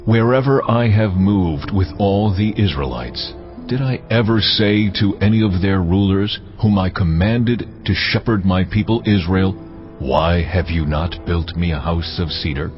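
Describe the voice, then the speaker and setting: An actor playing God as a solemn, authoritative Lord giving measured decrees in dramatized scripture, over music. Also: male voice, sits low. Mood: solemn, commanding. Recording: muffled, band-limited audio.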